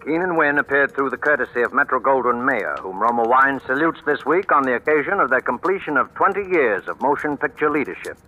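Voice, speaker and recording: A man's voice: mid-range voice, expressive, brisk, hardly any pauses. An American man reading scripted announcements for a 1940s radio program on a muffled vintage recording. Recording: muffled vintage radio, loud music behind.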